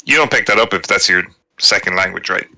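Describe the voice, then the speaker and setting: A man with a fairly low voice and a sharp, bright edge explains his ideas in a podcast chat. He works through examples and fills his sentences with "you know" and "like.". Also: quick pace, gravelly. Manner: filler-heavy, explains with examples. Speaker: podcast participant.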